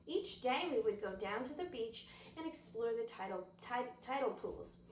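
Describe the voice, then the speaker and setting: A woman reading a children's book aloud with expressive, storytelling delivery. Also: on the high side, normal speed. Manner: expressive, drops to whispers at quiet moments. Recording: roomy echo, distant mic, faint noise.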